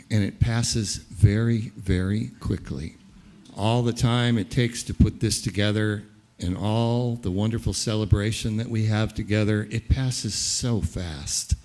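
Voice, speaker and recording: A man's voice: lowish voice, a little mellow. A man hosting a gratitude concert, speaking reflectively between songs on stage. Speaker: concert host. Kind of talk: onstage emcee remarks.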